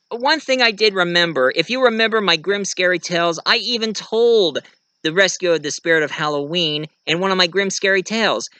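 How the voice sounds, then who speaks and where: A man talking casually and ramblingly about stories he wrote, halting and repeating phrases as he goes, with an animated storyteller's way and the odd "eh" tagged on. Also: fairly high pitch, clear, resonant tone, no echo. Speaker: video creator and amateur writer.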